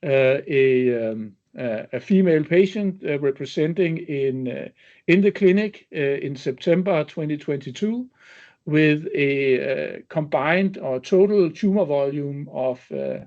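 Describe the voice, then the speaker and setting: A man presenting clinical results as an executive on an investor webinar, speaking haltingly with frequent ums. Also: a little high, lively intonation, darkish tone. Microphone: webinar call connection. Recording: dull, band-limited webinar audio.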